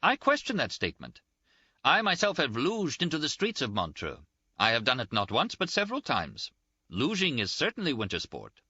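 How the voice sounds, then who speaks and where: A pompous male bureaucrat acted by a man reading aloud argues with pedantic indignation. The voice jumps high and swoops emphatically, forceful and sharp, in a dry audiobook recording. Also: big pitch swings, fairly quick, no echo, lo-fi sound, heavily acted. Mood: indignant, insistent. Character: indignant, pedantic male Swiss petty official. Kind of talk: audiobook character dialogue.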